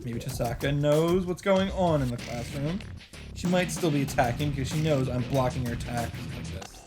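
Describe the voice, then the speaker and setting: A man's voice: medium pitch, moderate pace. Man narrating a visual novel over music. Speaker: let's play host. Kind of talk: reading visual novel narration aloud with asides. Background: game music.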